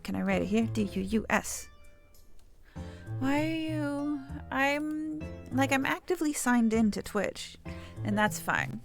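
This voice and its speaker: A woman's voice: fairly high pitch, fairly bright tone. A young woman chatting casually as a game streamer, rambling and swearing now and then; her native language is Samayach. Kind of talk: casual gaming stream chat.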